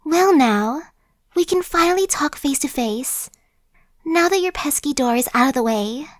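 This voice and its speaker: A woman's voice: high-pitched, lively intonation, a little slow, no room sound, studio quality. A woman playing a chatty, sly young female zombie in an ASMR roleplay, talking in a slangy, cajoling, buddy-like way. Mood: smug. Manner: casual slangy wheedling, buddy-like.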